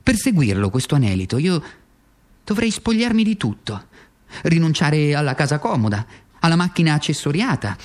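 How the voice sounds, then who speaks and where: A man's voice: a little high, very animated. Man acting a tormented, wildly swooping stage monologue. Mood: anguished yearning. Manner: anguished, halting, rhetorical, repeating phrases for emphasis. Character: tormented, self-accusing worn-out communist stage actor, male. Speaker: actor performing a monologue. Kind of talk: theatrical monologue in radio drama.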